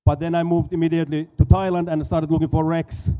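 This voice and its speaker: A man's voice: fairly high pitch, little pitch movement, fast, dark tone. A Finnish-accented man in his forties giving a casual expo stage talk, often stumbling and repeating words. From Finland. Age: 40s. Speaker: conference speaker, dive school owner.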